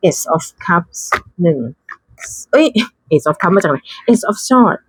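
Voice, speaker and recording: A woman talks casually through an unboxing. Her voice is fairly low and husky, and she takes her time, thinking aloud with little exclamations and English terms mixed in. The sound is dry. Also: lively intonation, extremely slow, dry recording. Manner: mixes English card names into her talk. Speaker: tarot deck reviewer.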